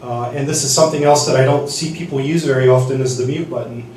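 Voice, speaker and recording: A man teaching a seminar on church sound desks, speaking haltingly with many restarts and fillers. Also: mid-range voice, steady tone, darkish tone, slight background noise. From United States. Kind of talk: teaching sound-desk basics. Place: church hall. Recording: echoey hall from far off.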